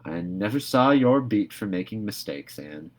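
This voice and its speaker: A man voicing a stern, sharp-tongued elderly spinster woman in a storybook reading, brisk and scolding. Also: on the low side, expressive. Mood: dry.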